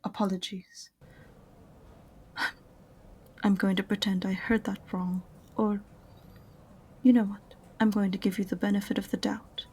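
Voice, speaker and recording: A woman's voice: medium pitch, flat delivery, normal speed, a little mellow, husky, natural voice. A woman playing an aloof, sarcastic witch librarian in a fantasy audio roleplay, prim and condescending in a dry way. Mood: taken aback. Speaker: voice actor in amateur audio roleplay.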